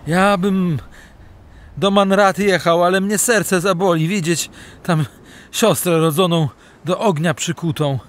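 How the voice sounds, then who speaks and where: High-voiced wounded young warrior. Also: male voice, steady tone, measured pace. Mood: pained, sorrowful. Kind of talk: dramatic audio play acting.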